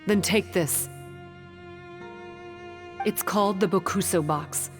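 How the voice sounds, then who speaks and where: A woman playing an earnest, guarded young priestess in a video game, speaking in a measured, formal, explanatory way. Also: mid-range voice, lightly acted. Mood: businesslike. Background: music.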